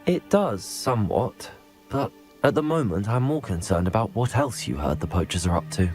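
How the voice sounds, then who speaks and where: An actor playing a composed young wizard student who speaks in a polite, measured and dry way. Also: male voice, on the low side, lively intonation, darkish tone, soft background music, close to an everyday voice. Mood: calm. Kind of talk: video game voice acting.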